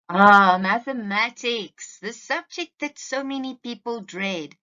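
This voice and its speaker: Woman teacher, very slow measured pace, mid pitch, careful didactic delivery, dull lo-fi recording. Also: sharp tone. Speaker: teacher presenting to parents. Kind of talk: scripted presentation narration.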